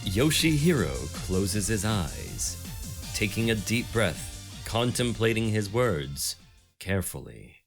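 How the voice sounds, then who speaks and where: A man narrating a scripted dramatic story in a measured storytelling style. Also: lowish voice, sing-song, over music.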